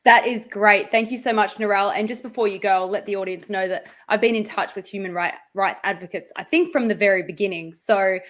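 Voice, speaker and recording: A woman's voice: mid-range voice, fairly quick, few pauses. Upbeat woman hosting a stream. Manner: chatty, upbeat. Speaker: live-stream host. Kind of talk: casual hosting chat.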